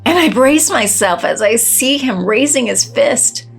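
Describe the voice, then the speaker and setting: A woman's voice: on the high side, lively intonation, measured pace, vocal fry, breathy edge, soft background music, heavily acted, poor audio. An actress playing a fearful, shaken woman, narrating and acting unsteadily in an audio drama. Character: fearful, shaken wife and schoolteacher.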